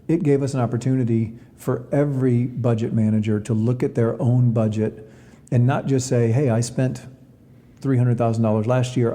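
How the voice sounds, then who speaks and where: Guy being interviewed as an athletics director, really dark mellow voice, long echoey reverb. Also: medium pitch. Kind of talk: reflective interview answers. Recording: long reverberant hall echo.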